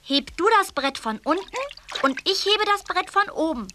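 A woman's voice: very high voice, expressive, normal speed, background noise. A woman playing an eager, naive little tiger cub in a children's audio play, childlike and exclaiming. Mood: trusting, eager.

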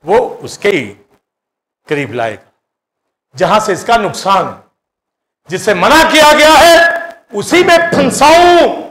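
High-pitched impassioned Pakistani preacher man. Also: expressive, takes it slowly, long pauses. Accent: Pakistani Urdu. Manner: impassioned preaching, swinging between loud high bursts and low hushed asides. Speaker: pastor. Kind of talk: sermon. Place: church hall with a stage. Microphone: handheld mic.

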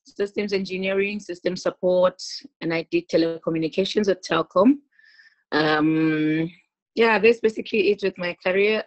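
A South African woman in her thirties talking casually about her life on a video call, chatty and quick to laugh. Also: lowish voice, no room sound, poor audio. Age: 30s. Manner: chatty, laughs often, many restarts. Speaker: interview guest, gospel singer. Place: small room at home. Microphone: laptop webcam on a video call.

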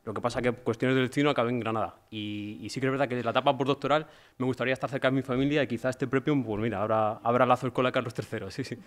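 Young male researcher, brisk informal thank-you speech at a conference podium, mid-range voice. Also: medium pitch, fairly even intonation. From Madrid region, Spain. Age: young adult. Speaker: award recipient, doctoral researcher. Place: auditorium stage at a conference. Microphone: gooseneck podium microphone.

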